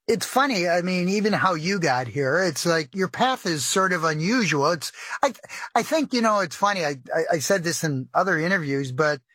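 A man's voice: sits high, lively intonation, moderate pace, bright, forceful tone, no echo, clean studio sound. A man from Michigan, a former legislator hosting an interview, chatty and loose, with false starts and light laughs. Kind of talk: conversational interview.

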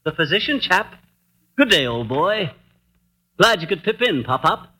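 An actor voicing a husband who puts on an exaggerated British accent as a pompous, hearty English gentleman in radio comedy. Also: sits high, sing-song, normal speed, husky, full character voice. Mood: jolly. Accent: put-on exaggerated British. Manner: pompous, hearty, old-fashioned slang. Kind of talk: old-time radio comedy acting. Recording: noisy vintage radio broadcast recording.

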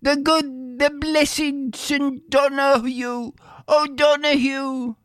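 A man voicing a poor, excitable, defiant Irish peasant farmer in a storybook tale, with exclamatory rustic phrasing. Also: fairly high pitch, slow pace, dry recording, pitched above the performer's own voice, heavily acted. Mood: overjoyed shouting.

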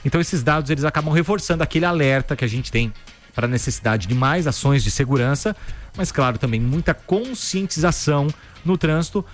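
A man co-hosting a radio news show, reading headlines briskly with casual asides. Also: fairly high pitch, lively intonation. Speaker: news co-host. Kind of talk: radio news bulletin chat. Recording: faint music bed behind.

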